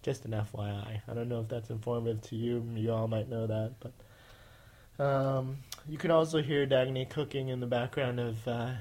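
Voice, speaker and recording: A man's voice: mid-range voice, steady tone, normal speed, darkish tone. A man hosting a casual podcast, with a nasal voice, rambling with many fillers and restarts. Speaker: podcast host.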